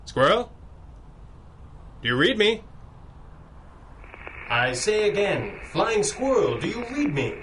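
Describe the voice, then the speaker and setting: An actor playing a stern masked vigilante in radio adventure style, speaking in formal, commanding phrases. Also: male voice, sits high, sing-song, takes it slowly, pauses often, a bit bright, slight background noise, exaggerated voice. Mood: alarmed, urgent. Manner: commanding, formal, clipped interrogation.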